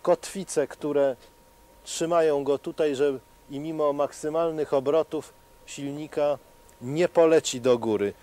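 Measured middle-aged TV presenter, mellow voice. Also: male voice, fairly high pitch, fairly even intonation. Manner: measured, explanatory, with frequent hesitations. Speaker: TV science presenter. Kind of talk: explanatory on-location TV presenting. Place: outdoors at a helicopter test stand.

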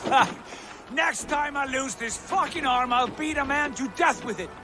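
An actor playing a proud, insistent Viking warrior lord in a game, declaiming grandly. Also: male voice, very high-pitched, normal speed. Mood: boisterous. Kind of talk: video game cutscene acting.

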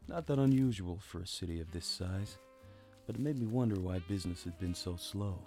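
An actor playing a world-weary, hardboiled private detective, narrating a noir radio play in a dry, wry, brooding way. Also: male voice, deep voice, a little fast, mellow, soft background music.

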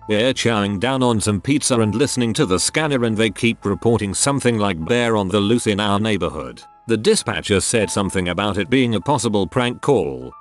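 Low-voiced man narrating stories. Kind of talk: scripted narration of online stories. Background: background music.

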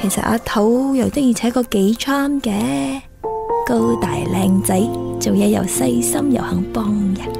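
An actress in her twenties playing an earnest, apologetic, lovestruck young woman in a radio drama, chatty and expressive. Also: a little high, a little slow, gravelly. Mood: musing. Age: 20s. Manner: chatty, self-chiding, expressive. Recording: background music under studio drama dialogue.

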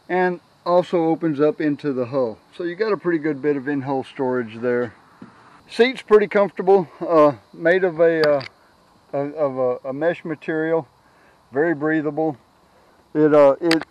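A middle-aged man giving a casual, unscripted product walkthrough outdoors on a camera mic, halting and repeating words as he goes. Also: fairly high pitch, darkish tone, lo-fi sound. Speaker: product reviewer, kayak owner. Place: outdoors on a grassy yard. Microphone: handheld camera's built-in microphone.

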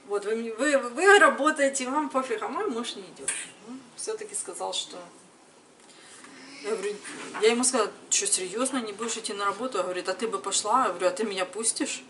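A woman's voice: a little high, fast, bright, forceful tone. A woman in her thirties chatting casually to camera as a vlogger, conversational and retelling everyday exchanges. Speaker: vlogger, mother. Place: home kitchen, later another room indoors. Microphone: camera a little distance away. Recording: noisy room, distant camera microphone.

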